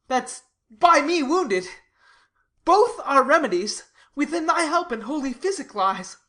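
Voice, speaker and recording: A woman acts an eager young man in love, in theatrical classical verse. The voice is high and bright, with an ardent, earnest delivery that is openly expressive. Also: slow pace, heavily acted. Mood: playful, excited. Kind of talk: classical verse drama, theatrical dramatic reading.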